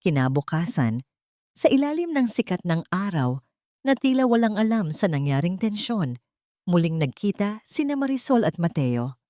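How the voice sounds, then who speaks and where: A woman's voice: on the low side, very animated, moderate pace, warm tone, dry recording, clean studio sound. A woman narrating a scripted story in a dramatic, suspenseful manner.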